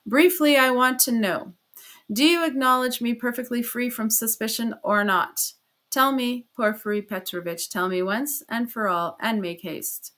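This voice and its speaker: A woman's voice: medium pitch, moderate pace, few pauses, a bit bright, natural voice. A woman voicing a furious, haughty and tormented male suspect in an audiobook, emphatic and accusing. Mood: imperious. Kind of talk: dramatized audiobook character reading.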